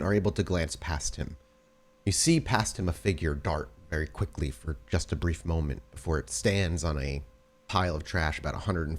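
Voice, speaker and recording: A man's voice: deep voice, a little mellow. A man narrating a tabletop roleplay game as game master, descriptive and rephrasing as he goes.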